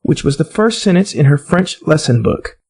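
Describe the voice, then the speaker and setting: Animated, high-voiced American male storyteller. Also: normal speed, gravelly, husky. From United States. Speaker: audiobook narrator. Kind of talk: children's storybook narration.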